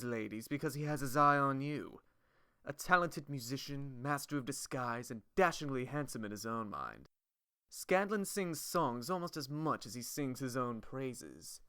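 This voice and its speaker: A man putting on a flamboyant gnome bard's promoter, bright and swooping. He is vain and flirtatious, stretching words for theatrical effect in a fantasy game intro. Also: mid-range voice, smooth, no echo, studio quality. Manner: showy, drawn-out, theatrical promoter.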